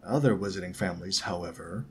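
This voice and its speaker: A man's voice: lowish voice, lively intonation, normal speed, slight background noise, lightly acted. A man voicing a haughty, condescending rich schoolboy bully with a lazy drawl, in a storybook reading. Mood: smug contempt.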